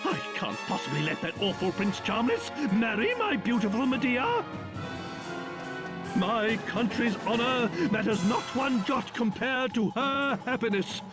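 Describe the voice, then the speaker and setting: A man's voice: very high-pitched, lively intonation, unhurried, poor audio. A man voicing a proud, fussy old king with a British accent in a video game. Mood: pleading, proud. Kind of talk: theatrical video game acting. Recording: music under the dialogue.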